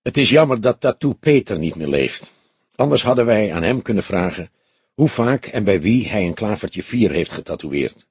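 Man playing a husky, dry older police detective. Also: on the low side, expressive, darkish tone, no room sound, heavily acted. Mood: pensive. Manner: deliberate, explanatory, dryly humorous. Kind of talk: audiobook character dialogue.

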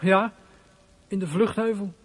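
An actor playing a hurt, defiant thirteen-year-old boy thief in a radio play, confiding in a husky, breathy, colloquial way. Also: mid-range voice, fairly even intonation, unhurried, dry recording. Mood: subdued. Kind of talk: radio play acting, confiding first-person narration.

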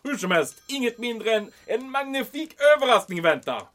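A man playing a bombastic, excitable German military commandant in a comedic audio drama, exclaiming pompously and peppering his speech with German words. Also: very high-pitched. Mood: gleeful, boastful.

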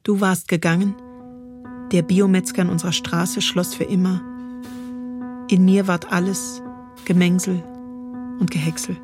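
A woman reciting poetry as radio drama, deliberate and incantatory. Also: on the low side, level tone, a little mellow. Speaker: spoken-word performer. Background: prominent music.

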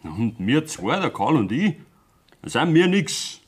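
An actor playing a stubborn, bitter young farm son with a Bavarian accent in a dialect radio drama, defiant and curt. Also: male voice, mid-range voice, sing-song, a little slow, breathy edge. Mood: indignant.

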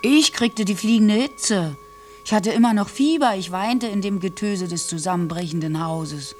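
Actress doing a bitter haunted young woman from a war, halting radio drama monologue, music behind her. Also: mid-range voice, darkish tone, natural voice. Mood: feverish, remembering. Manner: halting, intense, dropping into breathy whispers.

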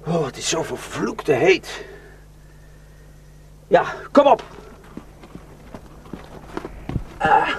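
An actor in a radio drama playing a weary, bitter, well-travelled man who grimly warns of atrocities. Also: mid-range voice. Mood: strained, exasperated. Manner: grim, cursing, rambling storyteller. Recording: noisy radio play recording.

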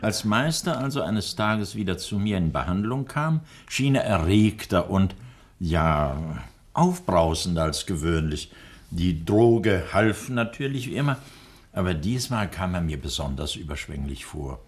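A low-voiced, courteous older doctor. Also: male voice, lively intonation, husky, full character voice. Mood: storytelling, courteous. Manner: measured, hesitant, polite. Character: older nerve doctor, courteous, worried father, hesitant. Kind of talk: 1960s German radio drama acting.

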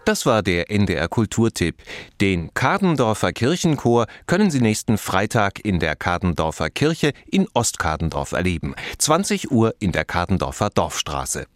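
An actor playing a solemn radio announcer who reads out a local culture tip slowly and deliberately. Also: male voice, fairly low pitch, big pitch swings, no echo. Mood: solemn, measured. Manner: slow, deliberate delivery.

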